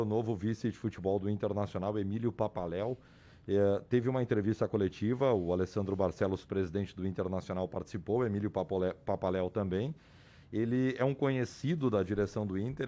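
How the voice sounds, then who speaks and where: A man with a hoarse voice, reporting club sports news on a radio show in an explanatory way. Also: fairly low pitch, brisk, dark tone. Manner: explanatory, restarts and repeats names. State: hoarse, losing his voice. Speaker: sports commentator.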